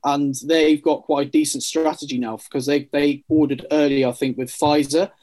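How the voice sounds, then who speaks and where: A man's voice: a little high, flat delivery, normal speed, a little mellow. A man in casual discussion, blunt and colloquial, reaching for analogies.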